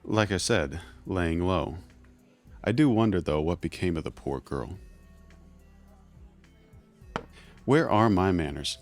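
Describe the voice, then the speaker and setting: A very deep-voiced underground fixer acted by a man speaks in measured, formal phrases. He is guarded and talks down to people, in audio-drama style, with faint music behind him. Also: lively intonation. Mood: cool, courteous. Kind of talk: scripted audio drama acting.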